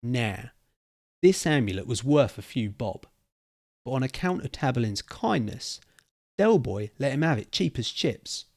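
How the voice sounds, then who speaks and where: A man's voice: medium pitch, very animated, a little slow, darkish tone, dry recording, studio quality. A man voicing an opinionated old cockney pub storyteller, theatrical and emphatic, thick with slang. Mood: knowing.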